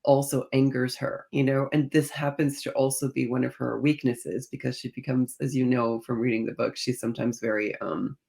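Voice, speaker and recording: A woman speaking as a guest author in a conversational interview, thinking aloud as she tells stories in an animated manner. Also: rumbling low, normal speed, vocal fry, few pauses. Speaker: guest author discussing own novels.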